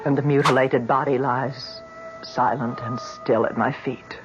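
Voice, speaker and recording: A haunted, brooding son played by a male actor tells his tale in an old radio drama. He speaks slowly and deliberately in literary phrases, over clear music on a dull recording. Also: medium pitch, fairly even intonation, lightly acted. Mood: grim, haunted. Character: haunted grown son confessing a killing. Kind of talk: 1970s radio drama monologue.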